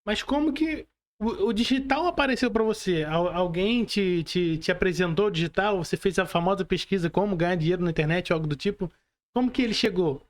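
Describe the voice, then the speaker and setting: Brazilian guy hosting a podcast, pretty high voice for a man, casual interview chat. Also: fairly quick. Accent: Brazilian Portuguese. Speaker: podcast host.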